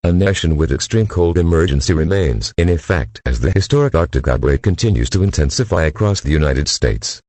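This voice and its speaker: A man's voice: extremely low pitch, expressive, a little fast, few pauses, darkish tone, no room sound. A man delivering a scripted emergency broadcast announcement in a formal, impersonal manner.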